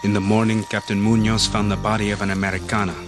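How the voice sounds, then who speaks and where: An actor playing a dutiful, wary village police chief in Mexico, in a grave, measured radio drama style. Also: male voice, fairly low pitch, level tone, music in the background, close to an everyday voice. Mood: grave, solemn.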